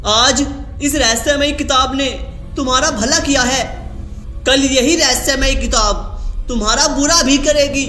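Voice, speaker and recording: High-pitched young prince played by a man, earnest, indignant, bright forceful tone, cartoon story. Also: measured pace, roomy sound, soft background music, full character voice. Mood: hurt, indignant.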